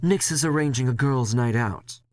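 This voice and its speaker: Guy doing a stern bossy crew leader for an audio drama, kinda high voice, dismissive and fed up. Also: normal speed, natural voice. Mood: brisk, businesslike. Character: Leslie, stern male crew boss, exasperated, lecturing.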